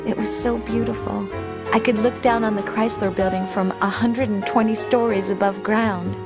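A woman's voice: medium pitch, steady tone, normal speed, over loud music, close to an everyday voice. A woman voicing an affectionate, wistful young girlfriend in a video game conversation.

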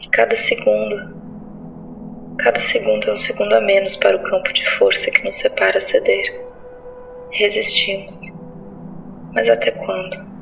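A woman playing a weary, somber soldier in an audio drama, speaking gravely and haltingly. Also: mid-range voice, very animated, lo-fi sound, noisy background. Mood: despairing. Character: weary soldier Drax, somber, losing hope under siege. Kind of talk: audio drama narration and dialogue.

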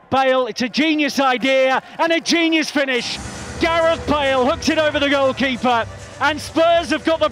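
A man giving excited, emphatic live football match commentary as a commentator. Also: very high-pitched. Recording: broadcast over crowd roar and music. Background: stadium crowd, music.

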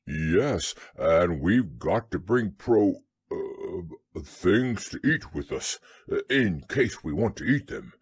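A man voicing a slow-witted, gentle, happy toy bear in a storybook, naive and hesitant. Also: low voice, very animated, husky, no room sound, pitched below the performer's own voice, full character voice. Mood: muddled, earnest.

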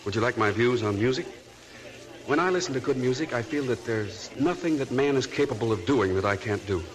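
A man's voice: medium pitch, expressive, fairly quick, close to an everyday voice, rough recording. An actor playing a dreamy, self-absorbed young man in a 1940s radio play, talking eagerly and intensely.

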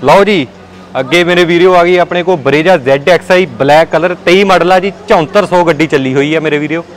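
A young man with a Punjabi accent walking through a large indoor car showroom, repeating a friendly sales pitch to viewers he calls brothers. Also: brisk, slight background noise. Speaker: car dealer showing stock. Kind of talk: walkaround sales tour.

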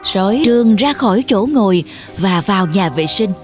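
A woman storyteller reading a scripted narration for a children's animated tale. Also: mid-range voice, normal speed, soft background music.

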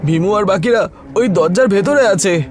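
A man's voice: sits high, expressive, moderate pace, pitched above the performer's own voice. A man voicing an earnest, caring young friend in a horror cartoon, urgent and pleading. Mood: alarmed, pleading. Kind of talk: animated horror cartoon dialogue. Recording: loud music under the voice.

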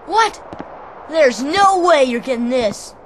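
A man's voice: extremely high pitch, expressive, takes it slowly, fairly bright tone, a little hiss or hum, exaggerated voice. An actor playing a spirited, confused teenage boy hero in a video game. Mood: defiant. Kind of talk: video game cutscene acting. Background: game music.

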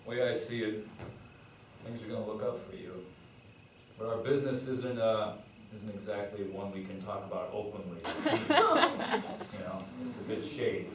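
A man's voice: fairly low pitch, big pitch swings, a bit bright. A man playing a smug shady businessman. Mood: smooth. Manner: slow, chummy, laughs at own jokes. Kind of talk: live improv comedy. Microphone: far room camera. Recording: echoey theater from far off, room noise.

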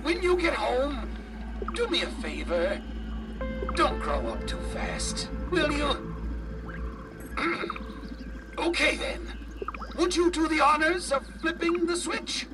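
A man's voice: very high-pitched, animated, slow, long pauses, far from the mic, over loud music, heavily acted. A man playing an eccentric, fatherly older scientist in a video game, testy and wistful, with theatrical, wordy, old-fashioned phrasing. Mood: fond, hopeful.

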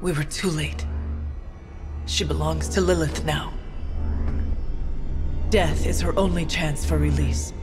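A woman's voice: low voice, fairly even intonation, slow pace, pauses often, over music. An actress playing a grim, commanding female monster hunter in a video game cutscene, speaking in terse, formal, solemn lines. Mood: grim resolve.

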